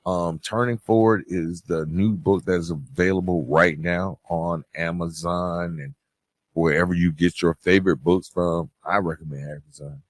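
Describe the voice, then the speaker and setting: A man hosting a solo podcast, giving advice and encouragement in an earnest, deliberate way with emphatic phrasing. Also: sits low, fairly even intonation, no room sound. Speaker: podcast host.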